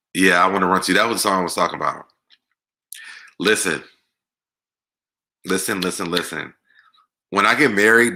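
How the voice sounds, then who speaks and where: An American man with a deep voice hosting a casual music Q&A, talking conversationally and repeating words for emphasis. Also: sharp tone. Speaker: online show host. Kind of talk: casual live Q&A chat about music.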